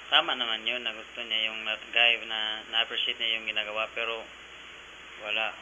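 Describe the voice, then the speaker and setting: A man's voice: on the low side, level tone, moderate pace, muffled recording. A Filipino man giving casual, emphatic advice on a radio show. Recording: noisy, hissy radio audio.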